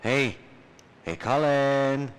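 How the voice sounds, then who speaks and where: Audio drama crewmate male actor: average-pitched man, very sparse pacing, nagging and insistent. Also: steady tone, very slow, clear, resonant tone. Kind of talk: scripted audio drama acting.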